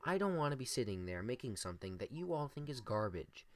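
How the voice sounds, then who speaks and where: A young man with a deep, monotone voice co-hosting a casual improvised podcast and rambling through his points on a desktop USB mic. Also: quick pace. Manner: rambling, filler-heavy. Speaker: podcast co-host.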